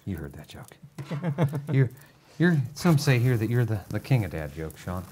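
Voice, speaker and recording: A man's voice: medium pitch, very animated, measured pace, dark tone, slight background noise. A man building a gaming computer on a live stream, joking dryly with his co-host. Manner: teasing, dry humor. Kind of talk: casual live-stream banter.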